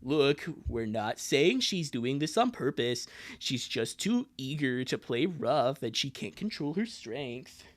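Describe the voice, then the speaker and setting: The streamer voicing a calm older cartoon sister who is measured and gently reproving, in a live comic dub. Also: medium pitch, no echo, voice pushed down. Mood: reproachful.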